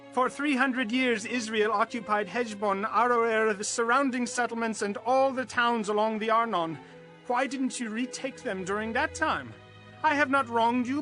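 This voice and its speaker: Jephthah, high-pitched, forceful Israelite warrior. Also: male voice, rough recording. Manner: declamatory, impassioned. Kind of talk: dramatized audio Bible acting.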